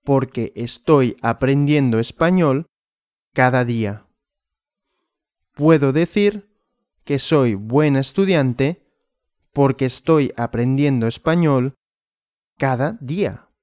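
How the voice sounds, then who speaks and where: A man giving a slow language lesson, asking simple questions and answering them himself in a deliberate, repetitive way. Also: mid-range voice, a little mellow, no room sound, clean studio sound. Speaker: Spanish teacher.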